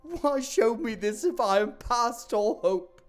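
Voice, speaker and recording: A man playing Scrooge, an old miser begging a spirit near tears, his voice straining high and desperate in an audio drama. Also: expressive, slow pace, smooth, exaggerated voice. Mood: pleading. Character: aging miser Scrooge, terrified and pleading.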